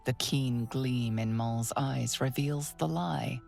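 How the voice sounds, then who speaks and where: An actress as a measured, knowing video game narrator, delivering scripted storytelling lines deliberately. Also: female voice, extremely low pitch, gravelly, a little mellow, soft background music, exaggerated voice.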